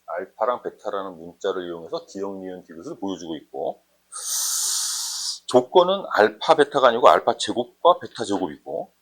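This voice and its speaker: Male math tutor, husky voice with animated intonation; informal, explains step by step and checks in often. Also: sits low, moderate pace. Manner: conversational, often checks understanding with short questions. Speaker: math teacher. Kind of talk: informal explanatory math lesson, thinking aloud.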